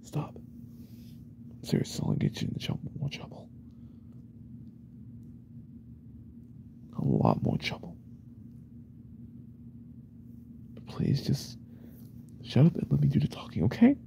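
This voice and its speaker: A man acts out an unhinged yandere boyfriend in an ASMR roleplay. His voice is very dark and heavily creaky, often sinking to a breathy whisper. He is intense, possessive and controlling, with lively swings in pitch. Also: normal speed, pauses often, slight background noise, heavily acted. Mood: agitated, controlling.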